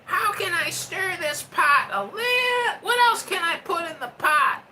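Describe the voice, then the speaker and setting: A man's voice: extremely high pitch, sing-song, unhurried, pitched above the performer's own voice, heavily acted. A man playing a gloating, sneering cook. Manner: sneering, theatrical. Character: gloating cook stirring a pot, theatrical. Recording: loud background noise, off-mic.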